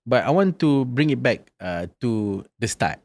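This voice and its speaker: A man's voice: mid-range voice, sing-song, measured pace, a little mellow, no echo. A man hosting a podcast interview, chatty and friendly, filling his questions with "you know" and "like". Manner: friendly, casual, many fillers like "you know" and "like". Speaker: podcast host. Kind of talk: casual interview.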